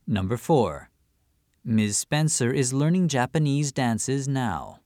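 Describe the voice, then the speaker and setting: A man reading listening-test statements in a deliberate, measured way, recorded on a close studio mic. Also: mid-range voice, big pitch swings, darkish tone, no echo. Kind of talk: slow scripted reading.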